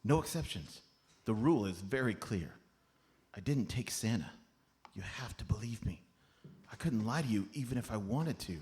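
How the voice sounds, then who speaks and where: A man's voice: on the low side, animated, moderate pace, pauses often, a little mellow, close to an everyday voice. A man playing an earnest, rule-bound master elf with a formal, precise way of talking, in a comedy radio play. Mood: pleading, insistent. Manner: formal, precise, bureaucratic recitation.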